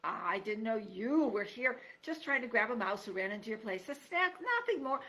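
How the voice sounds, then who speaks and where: A woman's voice: on the high side, lively intonation, moderate pace, pushed and bright. A woman voicing a sniveling male fox who wheedles and apologizes in a storybook reading.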